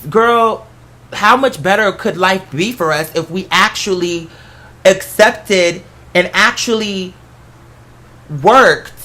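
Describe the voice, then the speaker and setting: Bright, forceful, candid host chatting casually. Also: mid-range voice. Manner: candid, rambling, slangy and familiar. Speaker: online show host. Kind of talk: casual conversational monologue to followers.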